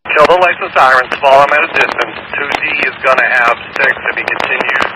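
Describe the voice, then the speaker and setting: A man's voice: medium pitch, fairly quick. A man speaking as a police officer in terse commands over the radio. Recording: police radio with faint noise.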